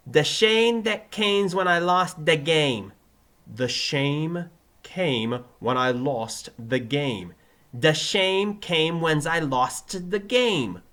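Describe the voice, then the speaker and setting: A man's voice: on the high side, fairly bright tone, pitched above the performer's own voice, heavily acted. A man voicing an earnest male pupil in a story reading, reciting with laboured, over-enunciated care. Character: earnest, struggling male pupil practising careful elocution. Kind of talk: fan fiction reading with character voices.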